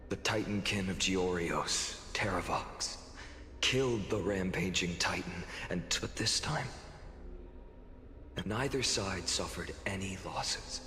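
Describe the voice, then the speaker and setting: A man voicing a weary, sorrowful, quietly wrathful hero in a dramatic video game monologue, solemn and deliberate. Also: deep voice. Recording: echoey long reverb, music underneath. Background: dramatic game music.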